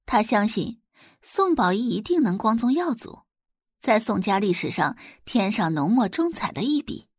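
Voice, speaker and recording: Expressive woman narrator, very dry recording. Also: medium pitch, moderate pace, slightly rough, no room sound. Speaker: audiobook narrator. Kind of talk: scripted dramatic narration.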